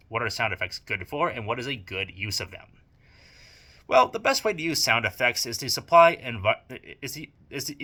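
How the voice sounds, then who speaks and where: A young man reading a scripted video essay with advice on audio drama, speaking in a measured, formal way and using dry, emphatic repetition. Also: mid-range voice, sharp tone.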